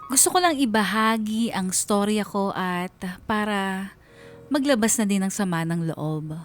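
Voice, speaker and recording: A woman's voice: mid-range voice, lively intonation, unhurried, soft background music, lightly acted. A woman playing an aggrieved married schoolteacher, narrating her family troubles as a confession in a radio drama. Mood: aggrieved, reflective.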